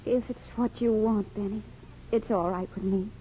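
An actress playing a gentle, anxious, supportive wife in a 1950s radio drama. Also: female voice, medium pitch, steady tone, normal speed, natural voice. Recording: muffled, faintly noisy 1950s radio broadcast.

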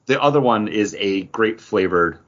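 A man's voice: on the low side, lively intonation, normal speed, fairly bright tone, dull audio. A man co-hosting a podcast, chatting casually about comic books in a rambling, conversational way. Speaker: podcast co-host. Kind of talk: casual comic book review chat.

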